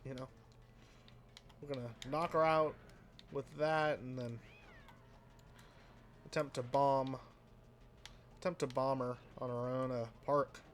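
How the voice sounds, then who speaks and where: Flat, rambling young man gaming. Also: medium pitch, slow, pauses often, slight background noise. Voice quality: sounds flat and a bit nasal, by his own account. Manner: rambling, self-deprecating, drifts into mumbles. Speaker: let's play host. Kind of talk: casual gameplay commentary.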